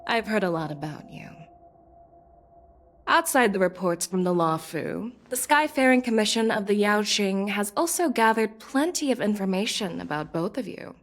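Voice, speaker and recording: A woman's voice: mid-range voice, natural voice. An actress playing a confident, courteous woman general in a video game, speaking in a poised, formal way. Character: confident, courteous female army general, probing. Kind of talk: scripted game dialogue. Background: background music.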